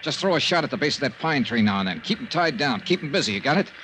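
An actor playing a tough, weary private investigator with an American accent and clipped, matter-of-fact delivery in an old radio detective drama. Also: male voice, medium pitch, very animated, fast talker, lightly acted. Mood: urgent, terse. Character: tough, weary male private investigator, narrating his case. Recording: noisy old radio serial recording.

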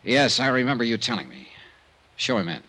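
An actor playing a shrewd, world-weary investigator in an old time radio serial, clipped and matter-of-fact, narrating and questioning. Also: male voice, medium pitch, very animated, a little fast, bright, forceful tone. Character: shrewd, world-weary male investigator who narrates his own case. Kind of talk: old time radio detective acting, part dialogue, part narration. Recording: old radio recording with music.

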